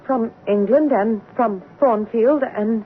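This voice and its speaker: An actress playing an earnest, proud young English governess in a radio drama, polite and restrained with deep feeling underneath. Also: female voice, slow. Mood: wistful. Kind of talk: 1970s radio drama acting. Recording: tinny, faintly noisy 1970s radio broadcast.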